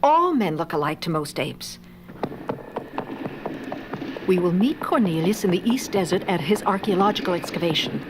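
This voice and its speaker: A woman's voice: lowish voice, expressive, moderate pace, long pauses, lightly acted. An actress playing a caring, cautious female ape scientist in a record audio drama, speaking carefully on a noisy track. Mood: secretive. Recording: noisy track with ambience behind.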